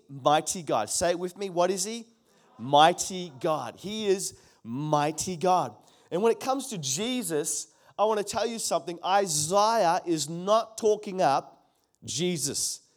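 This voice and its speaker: A middle-aged man preaching a sermon in an emphatic way, repeating his key phrases and calling on the congregation to answer. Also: on the high side. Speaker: pastor.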